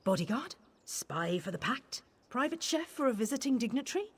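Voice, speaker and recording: A woman's voice: fairly high pitch, normal speed, breathy edge. A woman voicing an eager, hot-tempered, talkative adventurer in a video game. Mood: excited impatience. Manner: excitable, talkative.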